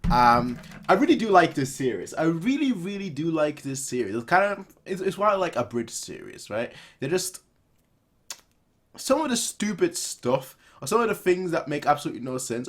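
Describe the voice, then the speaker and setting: A man in his twenties giving casual, off-the-cuff reaction commentary in an animated, rambling way, repeating phrases for emphasis. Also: a little high. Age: 20s. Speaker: reaction video host. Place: small room at home, indoors.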